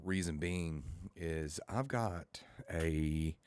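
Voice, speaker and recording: A man getting over the flu and losing his voice, talking softly and hesitantly with many fillers as he hosts his own channel into his own microphone. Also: extremely low pitch, extremely slow, clear, resonant tone, no room sound. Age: adult with a full-time job and family. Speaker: comic and game creator hosting his channel. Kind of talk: casual talk to camera. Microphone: own microphone, home recording.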